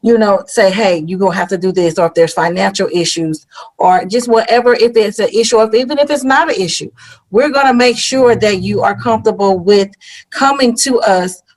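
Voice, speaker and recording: A woman's voice: mid-range voice, fairly quick, darkish tone. A woman banker answering on a forum panel. She reassures the audience and often fills her sentences with "you know.".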